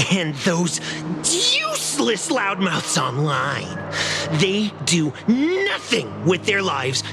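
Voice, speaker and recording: A bitter overworked townsperson grumbles wearily with a pitch that swings widely. The delivery is slow and resentful. Steady background noise sits under the voice. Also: male voice, heavily acted. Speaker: disgruntled worker in a crowd. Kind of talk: game cutscene voice acting. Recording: game audio with background noise.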